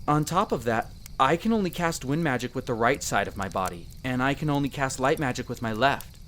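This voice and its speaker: A man's voice: mid-range voice, animated, brisk, a bit bright, slight background noise, natural voice. A man playing a friendly young elf explorer in a roleplay audio drama, talking conversationally and earnestly to the listener in a self-deprecating way. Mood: modest, explaining. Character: friendly young male elf explorer, modest half-outsider mage.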